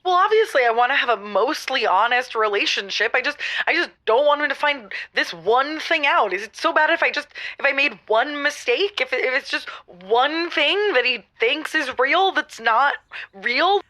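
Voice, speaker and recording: A woman playing an anxious, guilt-ridden caller with a halting, overwrought delivery in a comic audio drama. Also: high voice, expressive, pushed and bright. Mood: anxious, guilty. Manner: halting, overwrought, stammering repetitions. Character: anxious guilt-ridden female caller, melodramatic book-club fibber.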